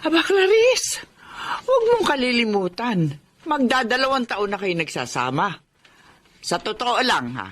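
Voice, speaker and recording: A woman's voice: a little high, big pitch swings, moderate pace, fairly bright tone. An actress playing a middle-aged Filipino mother in a radio drama, protective and exasperated, scolding in an emphatic, reproachful way.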